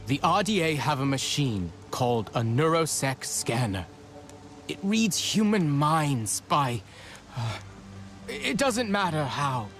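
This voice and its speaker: An awkward, eager male explainer in a video game. His fairly high voice moves up and down a lot, and he hesitates as he slowly explains. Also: very animated, fairly bright tone, faint music behind, full character voice. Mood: awkward eagerness. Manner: hesitant, self-interrupting.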